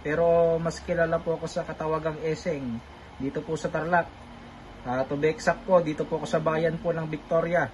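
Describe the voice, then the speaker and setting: A man's voice: fairly high pitch, level tone, brisk. Guy doing a ham radio call, polite, repeats stuff, noisy static recording. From Philippines. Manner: polite, courteous, repeats himself for clarity. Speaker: amateur radio operator, vlogger. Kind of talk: casual two-way radio exchange.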